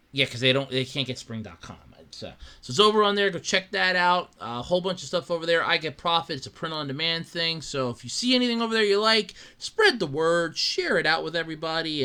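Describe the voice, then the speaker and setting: A man hosting his own podcast in casual solo chat, rambling with lots of restarts and a slightly raspy voice from allergies. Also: on the high side. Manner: rambling, animated, with many restarts and fillers. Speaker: podcast host. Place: his own room at home.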